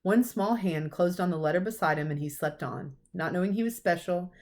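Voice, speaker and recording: Low-pitched woman reading a children's story. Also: fairly quick, a little mellow. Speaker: teacher reading a storybook aloud. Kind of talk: expressive storybook narration for children.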